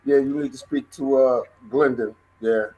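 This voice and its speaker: A man's voice: mid-range voice, steady tone, moderate pace, warm tone, rough recording. A man co-hosting a casual music chat, stammering and repeating words as he praises a song.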